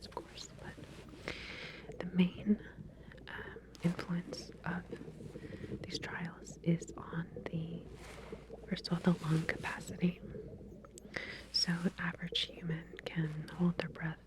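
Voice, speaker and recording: Woman, whispered delivery, unhurried pace, soft reassuring clinician manner, ASMR medical roleplay. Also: on the low side. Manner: soft, hesitant, explanatory, reassuring clinical talk. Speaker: ASMR roleplayer playing a lab researcher.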